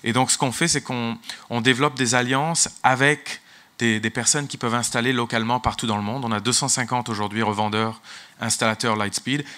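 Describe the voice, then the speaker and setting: Halting bright-voiced man giving a talk. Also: medium pitch, steady tone. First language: English. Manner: halting, restarts and repeats words often. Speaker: conference speaker, tech company executive. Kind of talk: conference talk.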